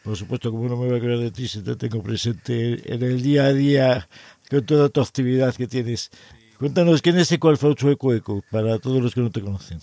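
A man hosts a relaxed interview, his tone dark and mellow. He talks in a friendly, conversational way at a middle pitch, nudging his guest along with short replies. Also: fairly quick. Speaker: podcast host interviewing a guest. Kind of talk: casual interview.

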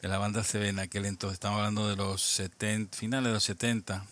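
A man in his sixties from Albacete with a Spain Spanish accent, a guest looking back on his past, speaking haltingly with frequent restarts. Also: on the low side, flat delivery, a bit bright. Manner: halting, many restarts and repeated words, reflective. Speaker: guest, amateur radio operator. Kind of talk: casual interview, reminiscing.

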